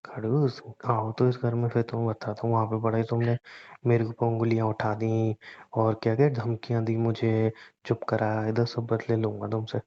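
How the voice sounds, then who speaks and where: A man's voice: lowish voice, completely flat delivery, normal speed, a little mellow, natural voice. A man playing a teasing, romantic young groom-to-be who fusses and banters playfully in an intimate phone-call drama. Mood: teasing, mock-scolding. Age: young adult.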